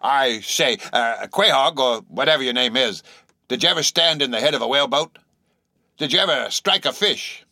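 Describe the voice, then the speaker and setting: A man voicing a gruff, hearty old sea captain who talks loudly and stammers a little. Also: mid-range voice, normal speed, no room sound, studio quality, voice pushed up. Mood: bluff.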